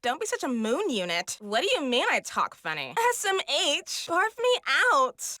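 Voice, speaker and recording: A ditzy, snobby teenage Valley girl for a fighting game. She gushes and sneers in a Valley girl accent, with a bright, animated delivery and a dry, close sound. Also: sits high, no echo, full character voice. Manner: gushing, snooty, drawn-out slang. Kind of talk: video game character voice lines.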